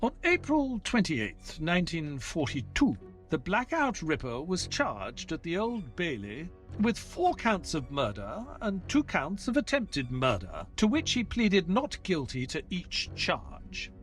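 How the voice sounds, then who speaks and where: Earnest sidekick and storyteller played by a man, higher-pitched, very expressive, for a detective audio drama. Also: soft background music, exaggerated voice. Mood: grave. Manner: measured storytelling, hesitant and flustered in dialogue. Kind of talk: audio play acting with scripted first-person narration.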